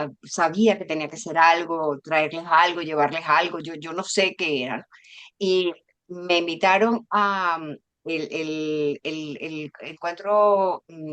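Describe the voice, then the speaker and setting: Expressive Venezuelan-born Spanish woman teaching. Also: low-pitched. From Venezuela and Spain. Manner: expressive, warm storyteller who acts out dialogue. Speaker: teacher giving a workshop. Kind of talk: informal spiritual talk.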